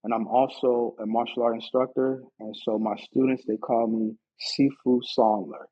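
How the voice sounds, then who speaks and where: A man with an American accent, talking casually as an interview guest, haltingly repeating phrases as he recounts his experience. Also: mid-range voice, fairly even intonation, highs cut off. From Orlando, Florida, United States. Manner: halting, repeats phrases, checks in with "you know". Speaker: podcast guest, martial arts instructor. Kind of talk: casual conversational storytelling.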